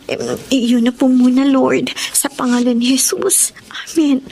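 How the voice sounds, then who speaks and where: A woman's voice: high-pitched, flat delivery, unhurried, a little mellow, a little hiss or hum. An actress playing a hardworking, prayerful young woman, earnest and polite to her elders, in a radio drama. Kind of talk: Tagalog radio drama acting.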